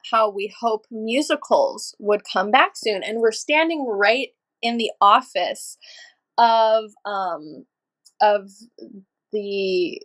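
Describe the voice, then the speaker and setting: A woman from Los Angeles, a podcast guest, telling stories in a lively, casual way with easy swearing and laughter. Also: medium pitch. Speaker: podcast guest, energy healer and channeler. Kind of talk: casual storytelling chat.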